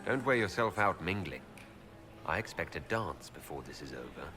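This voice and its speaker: A man playing a sincere elven hero in a fantasy video game, speaking in an earnest, softly direct and gently teasing way. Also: deep voice, big pitch swings, moderate pace, music in the background. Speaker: player character in a fantasy role-playing game. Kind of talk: video game character dialogue.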